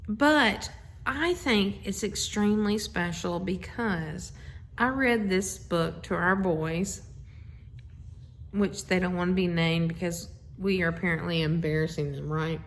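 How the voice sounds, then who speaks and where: A woman's voice: on the low side, measured pace. A middle-aged woman chats casually and effusively into a phone, rambling through asides as she introduces a bedtime story reading. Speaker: host of a family social media video. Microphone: handheld phone.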